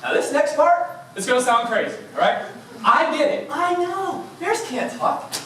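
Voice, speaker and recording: A man delivering a theatrical tall-tale contest speech with dramatic emphasis and pauses, speaking in a large room. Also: very high voice, big pitch swings, sharp tone. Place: large indoor conference room before an audience. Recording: echoey room from far off, faint noise.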